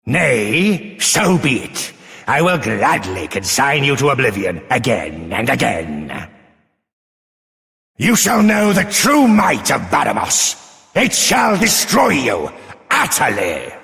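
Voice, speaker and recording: A man's voice: medium pitch, huge pitch swings, takes it slowly, vocal fry, bright, forceful tone, heavily acted. A man voicing a huge, arrogant, menacing archfiend in a video game, pompous and grandiose, in a long cavernous reverb. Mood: scornful fury. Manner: pompous, archaic, grandiose threats. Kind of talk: theatrical video game villain acting.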